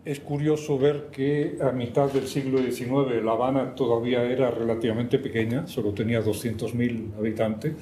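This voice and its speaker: An older man from Catalonia with a Cuban-tinged Spanish accent gives a measured lecture, stopping often for an interpreter and clearing his throat. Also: mid-range voice, steady tone, mellow. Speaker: guest speaker. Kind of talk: lecture presentation with slides. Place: university lecture hall. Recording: echoey lecture hall with faint noise.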